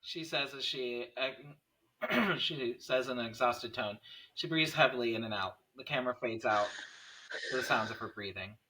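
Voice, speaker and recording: A man's voice: medium pitch, moderate pace, pushed and bright, poor audio. A man reading stage directions as narrator at a screenplay table read, in scripted narration.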